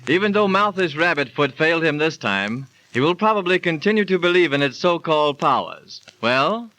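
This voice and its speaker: An actor as a courteous radio host, narrating in 1930s announcer style. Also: male voice, on the high side, animated, moderate pace, sharp tone, low-quality recording.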